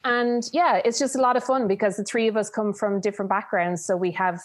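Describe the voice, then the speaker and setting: A woman's voice: medium pitch, steady tone, fairly quick, no echo. Irish woman in her thirties, chatty podcast host, lots of ums, dry close mic. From Ireland. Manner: chatty, with many fillers. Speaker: podcast co-host, food journalist. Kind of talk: casual podcast chat.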